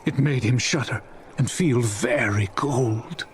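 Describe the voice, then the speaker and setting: A man narrating a dramatised classic story in an expressive, scripted audiobook style. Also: medium pitch, slow, a little hiss or hum.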